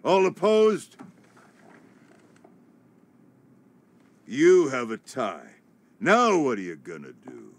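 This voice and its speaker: An actor playing a blustering, indignant company tycoon in a video game cutscene. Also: male voice, mid-range voice, huge pitch swings, unhurried, heavily acted. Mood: defiant. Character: ornery male company tycoon, bullish and indignant.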